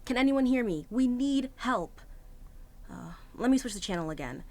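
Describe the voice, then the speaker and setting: Woman as a slightly high-voiced, practical young student. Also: animated, moderate pace, a little mellow, close to an everyday voice. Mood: urgent. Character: caring, practical young student, exasperated first-aider. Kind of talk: amateur audio drama acting.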